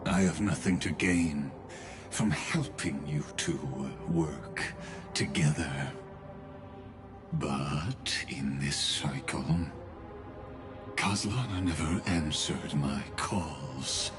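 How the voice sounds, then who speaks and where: An actor playing a solemn, world-weary immortal in a video game, speaking in a measured, grave, poetic way. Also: male voice, very deep voice, music in the background, full character voice. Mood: weary, pensive. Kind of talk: dramatic video game dialogue.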